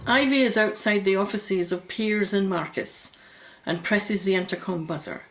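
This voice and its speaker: A woman's voice: mid-range voice, moderate pace, faint music behind, lightly acted, poor audio. A woman narrating a radio thriller as a neutral storyteller, in scripted present-tense scene description.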